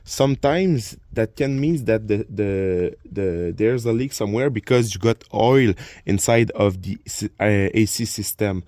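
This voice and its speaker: A man whose first language is French, speaking English with a French accent as he walks through a car repair hands-on. He explains step by step from a garage and under the car, halting often with uh and repeated words while he films on his phone. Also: fairly low pitch, a little mellow, no room sound. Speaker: DIY car repair vlogger. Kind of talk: casual hands-on repair walkthrough. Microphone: phone camera while working.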